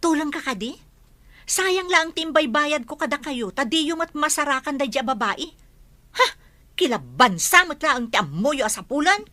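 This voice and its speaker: An actress plays a haughty, scolding rich woman, high and piercing, with big swings in pitch. She is an impatient villain in an Ilocano audio drama. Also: big pitch swings, normal speed, no room sound, full character voice. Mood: demanding, scornful. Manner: haughty, sharp-tongued.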